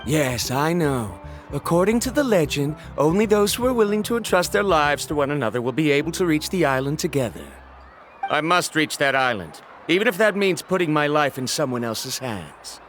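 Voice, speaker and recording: An earnest, formal scholar. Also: male voice, fairly high pitch, big pitch swings, normal speed, fairly bright tone, soft background music. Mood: determined. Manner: formal, polite, resolute. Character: earnest, determined young male scholar. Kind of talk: video game dialogue.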